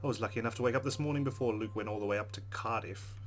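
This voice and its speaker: A man's voice: on the low side, rapid-fire, slight background noise. A man playing a visual novel, reading its narration aloud and adding casual commentary. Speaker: let's player. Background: faint music.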